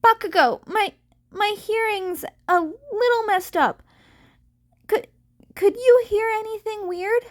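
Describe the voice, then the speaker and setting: A woman's voice: extremely high pitch, slow pace, pitched above the performer's own voice. A woman voicing a nervous, rambling teenage boy vigilante, stammering and hesitant, in a fan fiction audiobook. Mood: anxious, uncertain.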